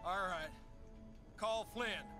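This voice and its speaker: A man's voice: sits high, slow. An actor playing a brash, irritable, sarcastic soldier in a video game cutscene, griping as he talks. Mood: exasperated. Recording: loud background music.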